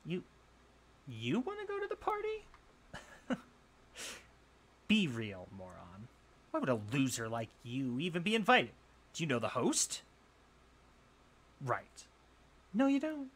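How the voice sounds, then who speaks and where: A man's voice: fairly high pitch, a bit bright, breathy edge, heavily acted. A young man playing a jealous, insecure college bully in a roleplay audio drama, scoffing, sighing and defensive. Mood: mocking, incredulous. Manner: scoffing, sighing, swearing, halting when flustered.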